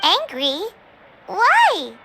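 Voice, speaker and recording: A woman voicing a tiny, nosy, excitable fairy girl in an anime video game, with a squeaky childlike voice and perky, dramatic exclamations. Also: drawn-out words, noisy background, heavily acted. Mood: astonished. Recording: music behind the voice.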